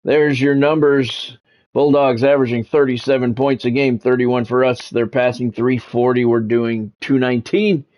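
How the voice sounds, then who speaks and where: Man, middle-aged, medium pitch, livestream host, conversational, announcer-like football commentary. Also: steady tone, moderate pace, a little mellow. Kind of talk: casual stream chat with sports play-by-play commentary.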